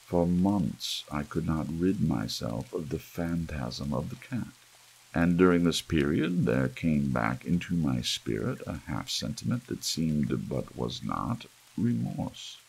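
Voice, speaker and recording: A man reading a gothic story as an ASMR audiobook in a gravelly, husky voice, with measured, dramatic phrasing and pauses. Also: extremely low pitch, big pitch swings, low-quality recording. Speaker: audiobook narrator. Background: soft rain.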